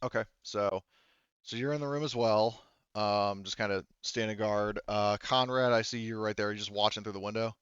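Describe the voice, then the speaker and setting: A man running a tabletop roleplaying game, narrating off the cuff in a halting, conversational way. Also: on the low side, steady tone, fairly bright tone, smooth, no echo, lo-fi sound. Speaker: game master.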